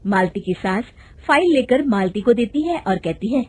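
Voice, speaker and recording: High-voiced woman narrating a story. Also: lively intonation, normal speed, breathy edge. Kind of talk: scripted cartoon story narration.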